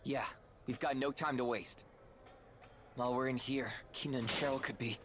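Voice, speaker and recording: A man's voice: mid-range voice, steady tone, normal speed, very noisy, close to an everyday voice. A man playing an earnest, skeptical young hero in a video game, with a direct manner. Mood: resolved. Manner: direct, questioning, businesslike.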